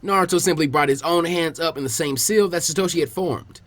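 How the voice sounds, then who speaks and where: A man reading fanfiction aloud in an expressive storybook narration. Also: fairly high pitch, fairly quick. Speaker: fanfiction reader.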